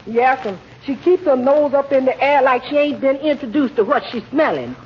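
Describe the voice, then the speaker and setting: An actress playing a cheerful, talkative household maid, folksy and emphatic, in 1940s radio comedy. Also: female voice, high-pitched, moderate pace, lightly acted. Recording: muffled, noisy old radio recording.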